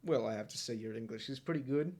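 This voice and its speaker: A man's voice: medium pitch, fast, darkish tone, breathy edge. A man hosting a roleplay talk show over game voice chat, musing and rambling with frequent laughs.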